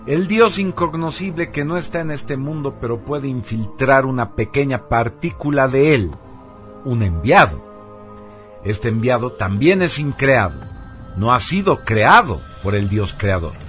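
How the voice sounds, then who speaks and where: A man delivers a scripted radio narration with a mid-pitched voice. His reading is solemn and measured, with deliberate emphasis on key words. Soft music plays behind him.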